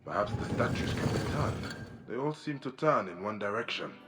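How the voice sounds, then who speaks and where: An actor playing a grim ancient warrior investigator in a video game, musing aloud in a measured way. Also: male voice, fairly low pitch, animated, close to an everyday voice. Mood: pensive, focused. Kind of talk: dramatic video game acting. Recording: music behind the voice.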